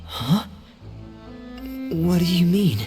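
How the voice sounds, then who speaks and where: A man voicing a gentle, conflicted, loyal teenage orphan boy in a video game, subdued and hesitant in manner. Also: sits high, expressive, extremely slow, heavily acted. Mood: apologetic. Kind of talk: dramatic video game voice acting. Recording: music behind the voice.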